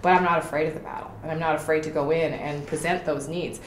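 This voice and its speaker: Low-voiced passionate woman in an echoey room. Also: steady tone, quick pace. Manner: passionate, emphatic. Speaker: political candidate. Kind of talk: interview answers. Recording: echoey room with faint noise.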